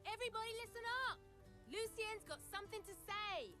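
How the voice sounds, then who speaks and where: A woman's voice: very high voice, brisk, sharp tone, soft background music. A woman playing a cheerful, eager young student with perky delivery and nautical phrases. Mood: excited.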